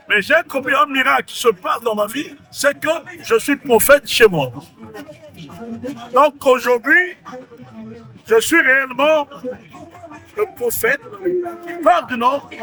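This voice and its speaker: Male prophet-preacher, Central African French accent, emphatic sermon delivery, sharp voice. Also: very high voice. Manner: emphatic, proclaiming, repeats phrases.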